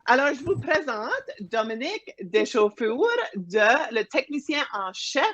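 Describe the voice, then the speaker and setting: A woman's voice: a little high, expressive, a little slow, a bit bright, a little hiss or hum. A woman hosting a career event on a video call, informal and friendly. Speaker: host.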